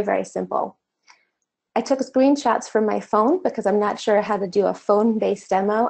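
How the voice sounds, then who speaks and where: Slightly gravelly woman explaining step by step. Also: medium pitch, a little mellow. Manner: casual, conversational explaining with fillers. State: slightly losing her voice. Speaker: online course instructor. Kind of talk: screen-share tutorial walkthrough.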